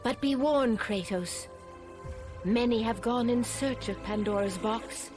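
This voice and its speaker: An actress voicing a solemn, mystical, foreboding oracle in a video game, with a portentous, measured delivery. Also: female voice, fairly high pitch, over music. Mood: solemn, warning. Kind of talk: video game cutscene acting.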